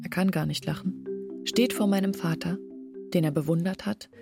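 An actress playing an embittered young village woman in a radio drama, recounting her family's ruin in measured, earnest storytelling. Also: on the low side, fairly even intonation, vocal fry, loud music behind the voice. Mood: grave, resigned. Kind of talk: literary radio drama monologue.

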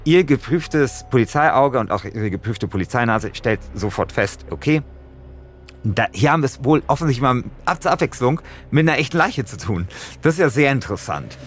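A man's voice: mid-range voice, lively intonation, a little fast, slight background noise. A man telling a story casually as a co-host, colloquial and blunt. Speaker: co-host pitching a crime audio drama story.

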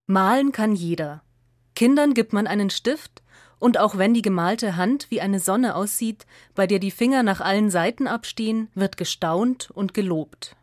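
Female narrator for radio essay, mid-range pitch, measured and articulate, dry recording. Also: darkish tone, no echo.

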